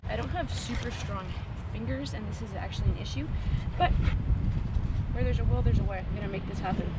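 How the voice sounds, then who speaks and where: A woman in her thirties talking casually and matter-of-factly to her camera as a cyclist vlogging her training, upbeat and chatty. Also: brisk. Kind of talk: casual first-person vlog. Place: outdoors on a racetrack and roadside. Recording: noisy outdoor action-camera audio, vehicle-like rumble.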